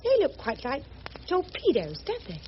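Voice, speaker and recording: A woman's voice: sits high, wildly swooping pitch, normal speed. An actress playing a prim, determined English missionary in her thirties, with Received Pronunciation and a formal, earnest manner, in a radio drama. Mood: intent, curious. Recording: muffled, noisy old radio drama recording.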